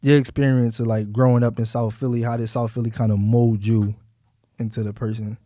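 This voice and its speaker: A man's voice: mid-range voice, flat delivery, fairly quick, warm tone, no room sound, studio quality. A man with a Philadelphia accent hosting a casual interview in loose, slangy phrasing. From South Philadelphia, US. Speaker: interview show host.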